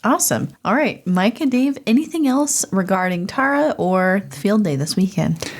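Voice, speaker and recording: An American woman hosting a casual conversational interview, chatty and quick to laugh. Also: medium pitch, lively intonation. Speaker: podcast host.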